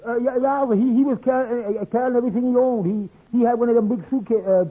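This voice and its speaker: An actor playing an excitable, muddled little barber who stutters and trips over his own words in a 1940s radio sitcom. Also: quick pace. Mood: flustered. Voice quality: high-pitched, husky, stuttering. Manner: stumbles, contradicts himself in a fluster. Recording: tinny old radio recording.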